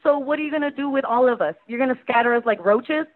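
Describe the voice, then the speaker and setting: A woman's voice: sits high, quick pace. A woman from Houston, a native Spanish speaker, making an impassioned public comment over the phone and pressing with questions. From Houston, Texas. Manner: urgent, pressing rhetorical questions. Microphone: phone call. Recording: tinny phone line.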